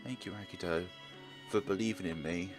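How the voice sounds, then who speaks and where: A man acts out a gentle, wounded young woman in a visual novel. The delivery is soft, halting and sorrowful in a lowish register, with faint music underneath. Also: natural voice. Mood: weak, tender.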